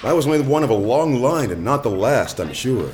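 A man playing a wisecracking hardboiled detective in a noir radio drama, dry and deadpan with his wordplay. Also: medium pitch, a little fast, lightly acted. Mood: wry, persistent.

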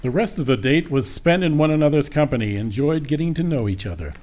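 A man playing a brisk storybook narrator in a comedic radio play, reading scripted narration. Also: fairly high pitch, animated, a little mellow, close to an everyday voice. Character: brisk storybook narrator of a royal dating tale.

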